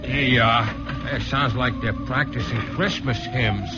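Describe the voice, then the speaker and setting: Plain-spoken American sidekick played by an actor, muffled old radio. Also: male voice, medium pitch, measured pace, lightly acted. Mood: sympathetic, reflective. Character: loyal, soft-hearted streetwise driver sidekick. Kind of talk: 1940s radio drama acting. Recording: dull old radio recording with music.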